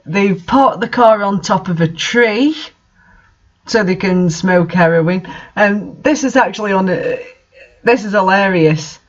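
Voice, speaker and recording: A man's voice: high-pitched, measured pace, dull audio. A man doing a mocking read-aloud of bad fan fiction, with incredulous, sarcastic asides in casual commentary.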